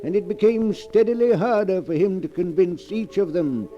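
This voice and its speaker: An ominous storyteller narrates a 1940s radio mystery. His voice is high for a man yet very dark in tone, with dramatic phrasing. The muffled old recording has faint music behind him. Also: lively intonation, moderate pace. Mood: ominous, suspenseful. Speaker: narrator and host.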